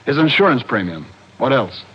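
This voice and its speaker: A man's voice: mid-range voice, very animated, moderate pace, slightly rough. An American actor playing an affable, slightly exasperated insurance man with a chummy, slangy manner in an old-time radio drama. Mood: explaining, exasperated. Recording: muffled vintage radio recording.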